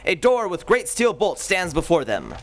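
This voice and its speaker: A man narrating an old-time radio serial with a dramatic announcer's flair. His voice sits high and rises and falls with lively energy, and soft music runs behind him. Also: moderate pace.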